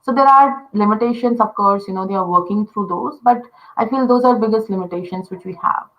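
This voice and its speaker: A woman's voice: mid-range voice, fairly quick, faint music behind, rough recording. A woman from India with an Indian accent, a native Telugu speaker, explaining conversation design in casual talk full of fillers like "uh" and "you know".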